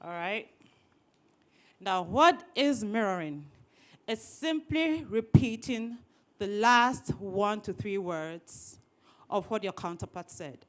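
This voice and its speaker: A woman leading a workshop session, lively and teasing, often repeating people's words back to them, speaking into a microphone to an audience. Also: medium pitch, takes it slowly. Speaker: workshop trainer. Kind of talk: interactive training session. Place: training room with an audience.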